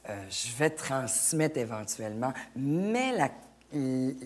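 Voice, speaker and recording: A middle-aged woman talks as a guest on an interview show. She speaks in a halting way, restarting and repeating words as she gathers her thoughts. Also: a bass voice, very animated, darkish tone. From Acadia, Canada. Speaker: actress, guest. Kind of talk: casual interview chat.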